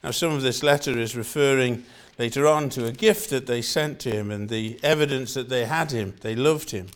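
A man's voice: medium pitch, moderate pace, clear, resonant tone. An older man from Wales with a Welsh accent, preaching a sermon in an earnest style and repeating phrases for emphasis.

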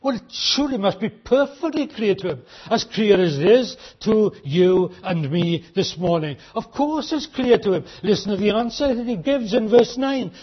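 Husky guy preaching, pretty high voice for a man, hammers the questions, muffled old recording. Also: expressive. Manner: emphatic, rhetorical questions, slows for emphasis. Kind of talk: sermon.

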